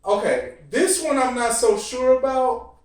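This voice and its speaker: A man's voice: extremely high pitch, sing-song, unhurried. A man hosting a casual, profane group discussion in slangy talk, animatedly telling his points and putting questions to the others. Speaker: host of a TV series discussion show. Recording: echoey room, distant from the mic.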